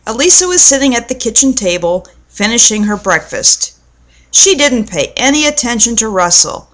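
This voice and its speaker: A woman reading a children's storybook aloud to young listeners in a deliberate, explanatory way. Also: a little high, very animated, a bit bright.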